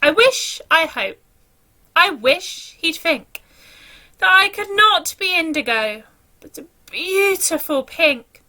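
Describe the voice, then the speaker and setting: A woman's voice: very high voice, expressive, slow pace, bright, forceful tone, full character voice. A woman voicing a sad little male flamingo from a children's storybook, mopey yet brave, with emphatic delivery. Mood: wistful.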